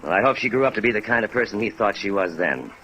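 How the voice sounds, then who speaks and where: Low, earnest American insurance official. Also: male voice, animated, bright, forceful tone, rough recording. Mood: earnest, weary. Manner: earnest, halting, sincere. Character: big, earnest, discouraged male insurance claims man. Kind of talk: 1950s radio drama acting. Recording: vintage radio recording with rain noise.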